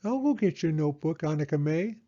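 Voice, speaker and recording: A man puts on a small female hedgehog for a storybook. The pitch is raised well up, and the delivery is earnest and determined, brave and loyal, with a slightly muffled sound. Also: lively intonation, a little fast, mellow.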